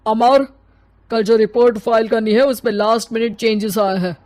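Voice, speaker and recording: A woman's voice: fairly high pitch, steady tone, moderate pace, darkish tone, no room sound, lightly acted. A woman plays a demanding male office boss in a cartoon story. The delivery is curt and commanding, with a dry sound.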